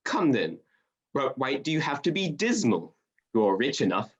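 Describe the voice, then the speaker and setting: An actor playing a warm-hearted, earnest young gentleman, a cheerful nephew, with hearty declamation in a radio play. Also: medium pitch, very animated, moderate pace, lo-fi sound, natural voice. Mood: protesting.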